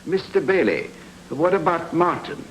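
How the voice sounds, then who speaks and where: An actor playing a courteous, authoritative master of the house in a noir radio drama, with polite, formal speech. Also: male voice, on the high side, lively intonation, measured pace, highs cut off. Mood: courteous, commanding. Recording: noisy old radio recording with clicks.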